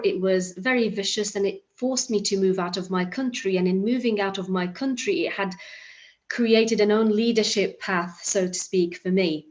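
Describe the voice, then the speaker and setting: A woman's voice: mid-range voice, lo-fi sound. A woman from Iraq giving an earnest motivational talk at an online summit, with frequent restarts and fillers. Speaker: summit speaker.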